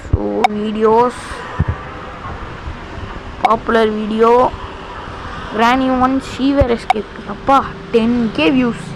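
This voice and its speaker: A woman's voice: on the high side, fairly even intonation, slow pace, pauses often. A woman chatting casually to her viewers as a video creator, self-deprecating in manner. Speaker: video creator trying out gamers' intro lines. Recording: clearly noisy, background hum.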